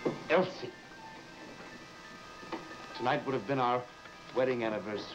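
An actor playing a haunted, fearful husband in an old-time radio horror drama, speaking in a halting, melodramatic way and repeating words for emphasis. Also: male voice, mid-range voice, close to an everyday voice. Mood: grim, insistent. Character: tormented husband haunted by dead woman's letters, brooding and fearful. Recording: dull, noisy old radio broadcast recording.

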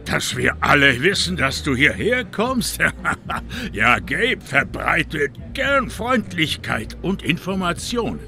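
Bright, lively older gentleman. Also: fairly high pitch, slow pace, vocal fry, faint music behind, exaggerated voice. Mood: jovial, grandiose. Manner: theatrical, chatty, formal. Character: jovial, grandiose retired businessman, town regular. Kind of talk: video game dialogue acting.

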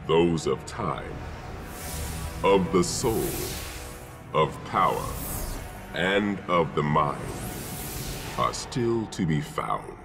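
Very deep, gravelly solemn commander. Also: male voice, full character voice. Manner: solemn, measured, formal. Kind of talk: dramatic scripted game cutscene. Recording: game audio with clear music behind.

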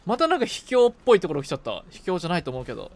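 Animated, higher-voiced man chatting casually. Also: racing. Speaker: game streamer, co-op partner. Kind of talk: casual gaming chat.

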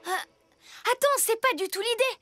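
A woman's voice: very high voice, moderate pace, faint music behind. A woman voicing an eager, confident young boy superhero and team leader in a cartoon dub. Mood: earnest. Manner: earnest, energetic, boastful.

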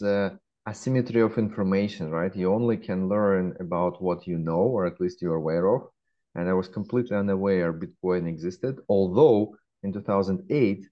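A man in his forties with a Russian accent, answering interview questions in a measured way with frequent hesitations, heard over a webcam call. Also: deep voice. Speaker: guest, fintech founder. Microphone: laptop webcam. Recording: dull lo-fi call audio.

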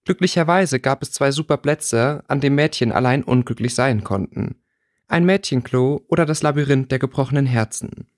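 A man's voice: medium pitch, moderate pace, no room sound, clean studio sound. A man reading fiction aloud as an audiobook narrator in a scripted storytelling style.

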